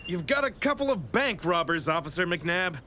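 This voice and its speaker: A man's voice: high voice, big pitch swings, normal speed, bright, forceful tone, a little hiss or hum, exaggerated voice. A man voicing an earnest police detective in a children's cartoon, with hearty, exaggerated delivery. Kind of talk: animated children's cartoon acting.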